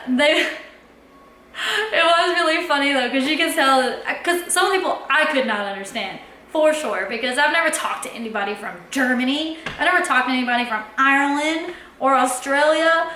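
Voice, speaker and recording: A woman's voice: high-pitched, pushed and bright. A young woman, telling a personal story in a casual, chatty way and acting out her own past lines. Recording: echoey room with faint background noise.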